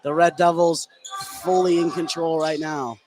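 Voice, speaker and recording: A man's voice: on the high side, flat delivery, normal speed. A man giving live color commentary and analysis at a basketball game. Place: indoor basketball gym. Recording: noisy live gym broadcast.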